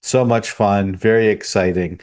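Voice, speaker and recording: A man's voice: on the low side, fairly even intonation, measured pace, darkish tone. A man hosting a podcast in a conversational style. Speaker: podcast host.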